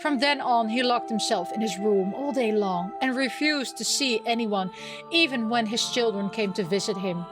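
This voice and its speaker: High-voiced woman playing a game, music behind. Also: normal speed. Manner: playful, expressive, exclaims and chatters to herself. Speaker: gaming video host. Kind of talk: let's play, reading game text aloud with reactions.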